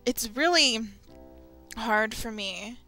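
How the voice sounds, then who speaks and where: A young adult woman giving a candid, confessional vlog talk, speaking haltingly and often repeating phrases. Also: a little high, expressive, pushed and bright. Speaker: video creator. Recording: music behind the voice. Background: background music.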